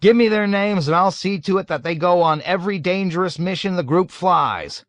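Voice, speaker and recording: A man voicing a jovial, nervous middle-aged colonel who gushes loudly and is eager to please. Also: sits high, normal speed, dry recording, voice pushed up, close to an everyday voice. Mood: gushing. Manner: loud, gushing, eager to please.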